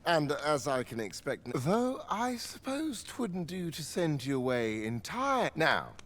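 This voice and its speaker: An excitable, wildly expressive fantasy craftsman. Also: a little high, a little slow. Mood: excitable, scheming. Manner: archaic formal diction, excitable, muttering asides. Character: excitable obsessive male scholar-craftsman in a fantasy realm. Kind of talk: theatrical fantasy video game acting.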